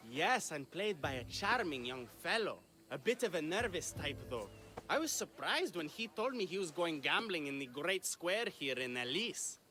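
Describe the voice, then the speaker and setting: A self-important ancient Greek playwright in a video game. His voice is high and bright, swooping freely as he makes effusive, grandiose pronouncements. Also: big pitch swings, moderate pace. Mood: grandly confiding. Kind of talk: video game dialogue acting.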